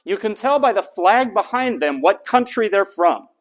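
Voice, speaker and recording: A man lectures a university class in a high voice that swoops up and down as he talks. He keeps the students involved by asking rhetorical questions and then answering them. His delivery is lively and sounds like a teacher working a classroom. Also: moderate pace.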